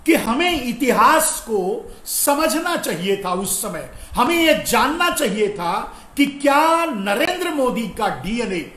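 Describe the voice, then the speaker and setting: Slow, emphatic man in an echoey room. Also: very high-pitched, sharp tone. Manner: emphatic, repeats phrases, asks rhetorical questions. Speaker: political commentator. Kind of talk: opinionated political commentary to viewers.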